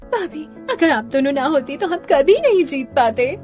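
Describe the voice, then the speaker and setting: Woman plays young designer bhabhi, haughty and dramatic, high swooping voice, music underneath. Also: big pitch swings, moderate pace, darkish tone. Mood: remorseful. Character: proud young sister-in-law designer, haughty, later anxious. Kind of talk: melodramatic Hindi cartoon story dialogue.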